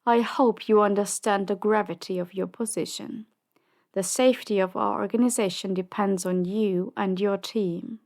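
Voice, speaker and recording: A woman playing a commanding, power-hungry crime boss in an audio drama, measured and condescending, with polite menace. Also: mid-range voice, fairly even intonation, a little mellow, lightly acted. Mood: stern, commanding. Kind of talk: audio drama roleplay acting.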